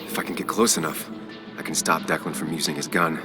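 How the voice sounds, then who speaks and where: Brooding game-hero journalist, very deep male voice, deliberate, scored with music. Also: fairly even intonation. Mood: tense, deliberating. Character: troubled, earnest journalist turned amateur detective. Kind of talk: video game voice acting, inner monologue and dialogue.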